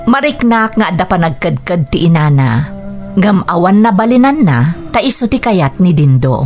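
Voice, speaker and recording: An actress with an Ilocano accent playing a gentle, anxious young fiancee in a Philippine radio drama. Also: female voice, on the low side, very animated, moderate pace, soft background music. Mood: reflective, troubled. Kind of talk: Philippine radio drama acting and first-person narration.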